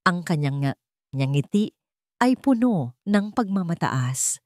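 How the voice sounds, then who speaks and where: Animated female drama narrator, deeper voice. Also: slow, no room sound, clean studio sound. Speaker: story narrator.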